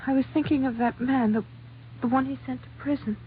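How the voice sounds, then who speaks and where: Polite small-town wife and mother female actor, hesitant, lightly high voice, vintage radio drama, thin recording with hiss. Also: fairly even intonation, a little fast, natural voice. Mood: shocked, grieving. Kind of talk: 1950s radio drama acting. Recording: tinny, noisy 1950s radio recording.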